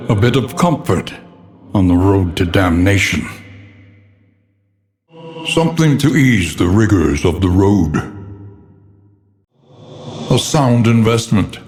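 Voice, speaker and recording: An actor playing a grave, brooding narrator of a gothic horror game, with solemn, theatrical, deliberate delivery. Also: male voice, on the low side, a little mellow. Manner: ominous, solemn, deliberate, ornate phrasing. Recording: long cavernous reverb.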